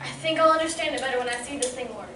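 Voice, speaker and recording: A curious young woman in a 1940s radio serial, played by an actress, questioning and a bit skeptical. Her voice sits high and sounds distant in a roomy, echoing space. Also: racing, bright, forceful tone. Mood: reassuring. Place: community playhouse stage reading. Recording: distant mic, echoey room, faint noise.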